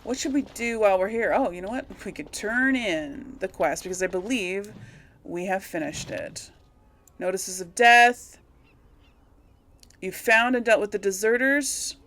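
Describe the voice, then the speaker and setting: A woman's voice: medium pitch, a little slow, a bit bright. A woman giving casual, rambling game commentary, absent-minded and thinking aloud as she plays. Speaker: gamer hosting a role-play playthrough series.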